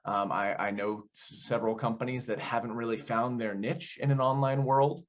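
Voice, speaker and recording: A man's voice: medium pitch, steady tone, moderate pace. Young American man, dull lo-fi audio. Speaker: community theater director and actor, interview guest. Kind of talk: conversational interview answers.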